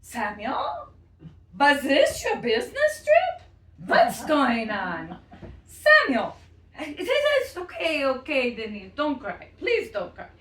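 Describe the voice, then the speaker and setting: Actress plays an anxious, doting grandmother: lively pitch, echoey distant stage sound. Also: slow, full character voice. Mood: frantic. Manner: anxious, exclaiming, repeats herself.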